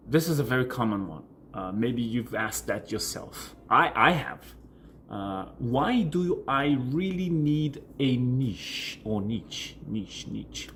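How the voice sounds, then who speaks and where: A man whose native language is Portuguese, speaking as a coach and video host in an informal explanatory style, repeating and rephrasing words for emphasis. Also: a little high. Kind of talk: informal explanatory talk to camera answering questions.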